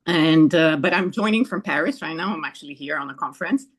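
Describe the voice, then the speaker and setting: A woman's voice: low-pitched, fast talker, slightly rough. A woman from Ghana, a school director, introducing herself on a video call in an earnest, reflective way. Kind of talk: panel self-introduction.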